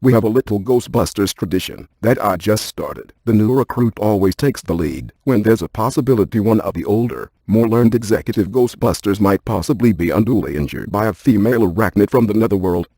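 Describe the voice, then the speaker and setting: Brainy deadpan ghost hunter for a game, lowish smooth-edged mellow voice, very dry close sound. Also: male voice, a little fast, hardly any pauses, no echo. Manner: deadpan, precise, technical. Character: deadpan, brainy male ghost hunter, dryly cautious scientist. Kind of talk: scripted video game character dialogue.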